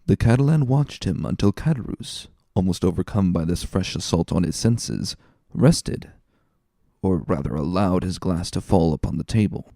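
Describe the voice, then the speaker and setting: Casting: man for dramatic audiobook narration, relaxed dark tone with a lively, varied delivery. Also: low-pitched, moderate pace, creaky, no echo, studio quality.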